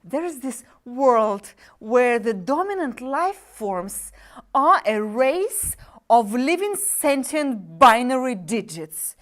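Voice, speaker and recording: Demon soul broker, played by a woman: slow, swooping delivery, slick and mocking, theatrical show-business patter. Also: fairly high pitch. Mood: boastful, teasing. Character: smooth-talking, wisecracking demon sub-agent dealing in damned souls. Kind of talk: dramatic script reading.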